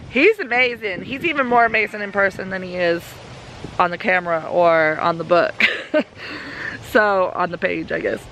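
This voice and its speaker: A woman, a little hoarse, chatting upbeat to her camera and kids outdoors in a homestead vlog. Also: mid-range voice, fairly bright tone, slight background noise, low-quality recording. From Southern United States. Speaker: homestead vlogger. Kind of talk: casual vlog chat. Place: outdoors in a backyard.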